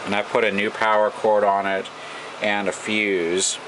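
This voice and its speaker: American male hobbyist, low voice, halting informal demonstration, clear background hum. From United States. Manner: halting, thinking aloud. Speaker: radio repair hobbyist. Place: indoor workbench. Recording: noisy room, steady background hum.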